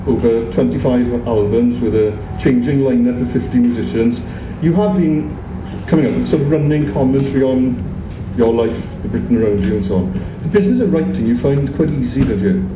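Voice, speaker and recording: A man's voice: mid-range voice, brisk, few pauses. A man interviewing a guest, hesitant, with many restarts and fillers. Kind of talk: radio-style interview. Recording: echoey, distant, muffled, loud room noise.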